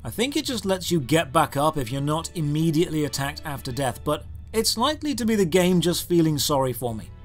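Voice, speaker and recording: A man's voice: on the high side, very animated, fairly quick, few pauses. A man giving scripted, comedic game review narration in a sardonic, exasperated manner. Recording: music behind the voice. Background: background music.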